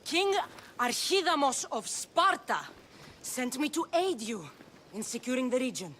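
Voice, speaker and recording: A woman's voice: high-pitched, big pitch swings, a little slow, fairly bright tone, a little hiss or hum, exaggerated voice. An actress playing a defiant, self-assured female mercenary in a video game cutscene. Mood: determined.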